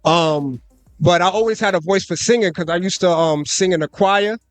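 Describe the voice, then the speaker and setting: A man's voice: sits high, moderate pace, faint music behind. Easygoing young man on a radio interview. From United States. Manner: relaxed, loose, with many fillers and "you know what I'm saying". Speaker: guest rapper and cameraman. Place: live concert radio booth.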